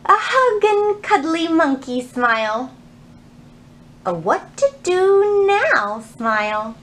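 A woman's voice: very high-pitched, slow pace, a little hiss or hum. A woman in her thirties leading a children's storytime, speaking expressively and straight to young listeners. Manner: expressive, animated, addressing children directly. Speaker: children's librarian hosting storytime. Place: indoors in a library.

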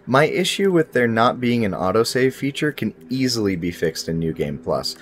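A man's voice: fairly low pitch, a little hiss or hum. A young man presenting a scripted video game review in an articulate, presentational way. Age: young adult. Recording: music behind the voice. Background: background music.

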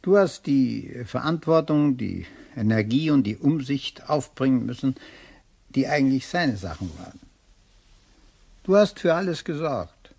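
An actor playing a fond, persistent male suitor in a crime radio play, husky and a little hesitant. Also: mid-range voice, animated, unhurried, a little mellow, full character voice. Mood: tender, earnest.

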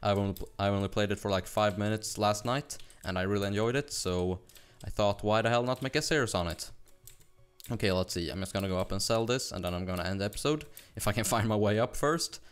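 A young man from Sweden with a Swedish accent, chatting fast and rambling with laughs while narrating his gameplay. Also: fairly low pitch, clean tone. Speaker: gaming YouTuber. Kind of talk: casual gameplay commentary.

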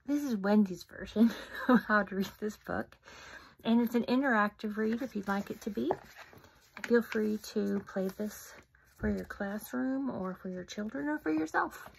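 A middle-aged woman reading her own children's book aloud at home, interactive, with soft, often whispered asides to young listeners. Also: mid-range voice, moderate pace, gravelly, slight background noise, rough recording. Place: home room, indoors. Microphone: phone camera close up.

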